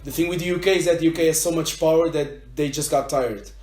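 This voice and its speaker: A man's voice: a little high, fairly even intonation, fast talker, darkish tone. A Portuguese man in his twenties with a Portuguese accent, chatting bluntly and giving strong opinions on a casual podcast. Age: 20s. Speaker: podcast guest. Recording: echoey room.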